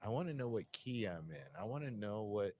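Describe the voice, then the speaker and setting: A man with a deep voice, musing at length in a reflective conversation. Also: lively intonation, a little fast, darkish tone. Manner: musing, searching, repeats phrases.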